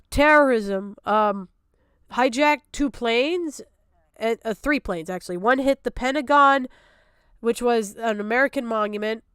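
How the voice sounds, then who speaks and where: Female livestream commentator, high smooth voice, close dry sound, exasperated and sarcastic, swears freely, unscripted. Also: no room sound. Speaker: streamer reacting to a video.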